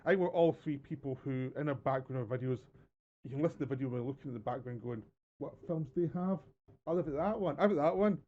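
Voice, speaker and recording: Joking Scottish man, mellow muffled voice. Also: medium pitch, quick pace. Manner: animated joking, laughs through lines. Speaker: podcast co-host. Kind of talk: casual podcast chat. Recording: muffled lo-fi audio with reverb.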